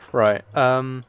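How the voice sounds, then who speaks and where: A man's voice: medium pitch, clear, resonant tone. A man chatting casually while he plays a game, thinking aloud in a rambling, playfully self-mocking way. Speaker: streamer.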